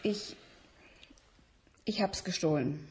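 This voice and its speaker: A woman's voice: lowish voice, takes it slowly, darkish tone. A woman acting a worried, insistent young student in a radio drama, earnest and pressing. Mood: defiant, earnest.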